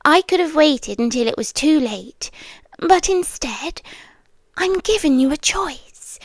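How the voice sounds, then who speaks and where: In this audio drama, a high, breathy whisper belongs to a lonely, half-monstrous trapped woman, voiced by a woman. She talks slowly and intimately, coaxing the listener close. The sound is close and dry. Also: animated, no echo, exaggerated voice. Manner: slow, soft and intimate, coaxing. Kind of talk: second-person audio drama.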